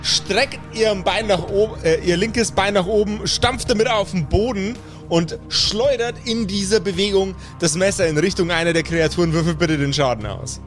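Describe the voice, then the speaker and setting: A man's voice: sits high, moderate pace, few pauses. A man narrating an improvised tabletop fantasy game as game master, with dramatic, descriptive storytelling. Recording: music playing behind the voice. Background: background music.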